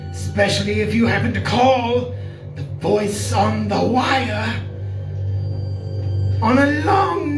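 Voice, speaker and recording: Roomy distant recording, music behind, woman as ghoulish male radio horror host, slow, theatrical, macabre. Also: a little high, lightly acted. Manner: macabre punning, leisurely theatrical delivery. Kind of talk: 1940s radio horror hosting. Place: live stage performance in a venue.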